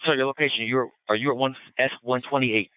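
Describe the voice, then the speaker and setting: Male police dispatcher, brisk and procedural, clean-toned voice through a narrow, muffled two-way radio. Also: mid-range voice, steady tone, smooth, no room sound. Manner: procedural, clipped call-and-response. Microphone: radio transmitter. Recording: muffled, band-limited police radio transmission.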